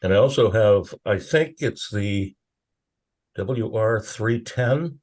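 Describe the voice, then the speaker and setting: A man in a casual meeting discussion, speaking haltingly with pauses mid-thought as a participant. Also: lowish voice, lively intonation, darkish tone. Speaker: meeting participant asking questions.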